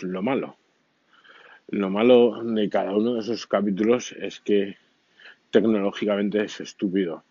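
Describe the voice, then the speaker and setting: Deep, slow, mellow-voiced man rambling. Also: breathy edge, no echo. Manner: rambling, many fillers and restarts. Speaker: podcast host. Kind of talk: casual spoken reflection. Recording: dull lo-fi sound.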